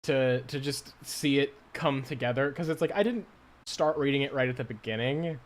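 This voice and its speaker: Guy w/ mid voice, casual live commentary, kinda wordy and halting, earnest. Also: medium pitch.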